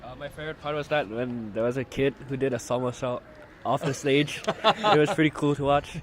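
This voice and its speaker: A man's voice: mid-range voice, fairly quick, a little hiss or hum. A young man from Thailand chatting casually as a student interviewed outdoors. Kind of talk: casual chat. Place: outdoors in a city.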